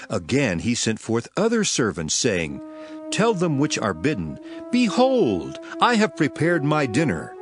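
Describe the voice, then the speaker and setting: An actor playing a grave, authoritative male teacher in a dramatized scripture reading, speaking solemnly and emphatically. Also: mid-range voice.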